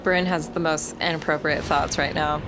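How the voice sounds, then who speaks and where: A woman's voice: deep voice, fairly even intonation, normal speed. A woman playing a tabletop game, chatting casually in a playful, teasing way. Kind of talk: casual, joking game chat. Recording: clear background ambience noise.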